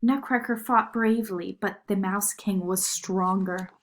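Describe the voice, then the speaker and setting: A woman narrating a children's story in an expressive read-aloud style. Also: medium pitch, unhurried.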